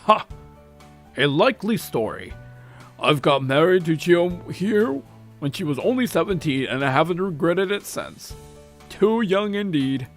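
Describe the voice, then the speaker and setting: Man doing a wry old grandpa, very lively pitch. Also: a little slow, faint music behind. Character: gruff, teasing old country grandfather. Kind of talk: character voice in a story reading.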